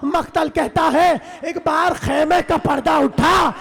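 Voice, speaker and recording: A man delivering an impassioned mourning sermon as a religious orator, lamenting dramatically in a strained, wailing voice with drawn-out cries and repeated phrases. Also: very high voice, steady tone, creaky. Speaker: religious orator reciting Karbala martyrdom narrative to mourners. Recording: loud crowd of mourners behind the voice. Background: crowd of mourners.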